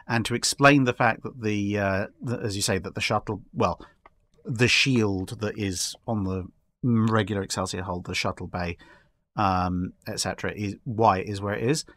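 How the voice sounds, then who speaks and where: Low male voice, casual discussion, speculative explaining, halting phrasing, repeated words. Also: fairly even intonation, a bit bright, no room sound. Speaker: podcast guest.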